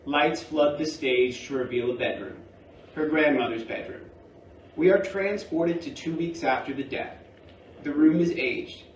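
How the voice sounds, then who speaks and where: A man reading stage directions as scripted narration for a play, measured and deliberate. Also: on the high side. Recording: roomy, distant mic, faint noise.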